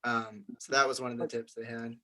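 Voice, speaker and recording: A man's voice: medium pitch, little pitch movement, brisk, pushed and bright, low-quality recording. A man leading a group discussion, explaining things in an informal, conversational way. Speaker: group meeting leader.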